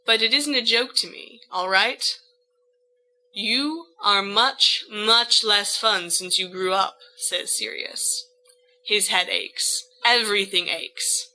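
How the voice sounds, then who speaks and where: Bright, high-voiced woman reading fiction. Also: poor audio. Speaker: volunteer audiobook reader. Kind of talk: fiction narration with acted dialogue.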